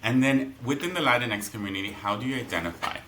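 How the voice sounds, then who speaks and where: A man's voice: mid-range voice, fast talker, pushed and bright, a little hiss or hum, poor audio. A man hosting a business podcast, chatty and friendly, often tagging questions with "right?"; Spanish was his first language. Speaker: podcast host. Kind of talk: conversational interview.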